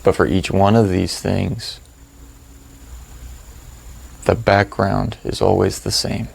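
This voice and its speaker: Male meditation guide, slow and calm, heavy vocal fry, plain-spoken and unhurried. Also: low-pitched. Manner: calm, hushed, unhurried with long pauses.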